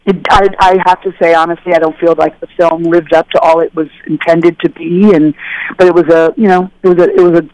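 A woman telling stories as an interview guest, animated and conversational, acting out the voices of people she quotes. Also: lowish voice, fairly quick, dry recording, rough recording. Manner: animated, acts out dialogue in voices. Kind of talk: casual conversational storytelling.

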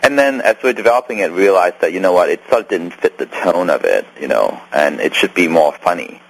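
Male guest, Hong Kong accent, deep and husky, meandering answers. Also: expressive, brisk, low-quality recording. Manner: rambling, many restarts and fillers. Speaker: filmmaker guest. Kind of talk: interview.

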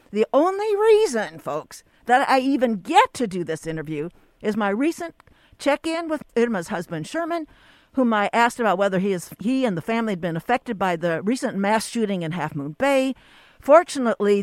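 A woman's voice: medium pitch, dry recording. A woman hosting a radio talk show, reading her guest's introduction and then interviewing her.

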